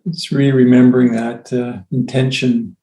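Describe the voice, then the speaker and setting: A man leading a guided meditation as a teacher, with gentle, unhurried phrasing and long pauses. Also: medium pitch, level tone. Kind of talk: guided meditation, softly spoken instruction. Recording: roomy, echoey sound.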